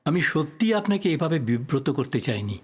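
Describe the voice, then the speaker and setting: A man playing a thoughtful, polite writer probing a death in an audio drama, speaking in a measured, reflective way. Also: on the high side, very animated, husky. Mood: apologetic, musing. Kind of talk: Bengali audio drama acting.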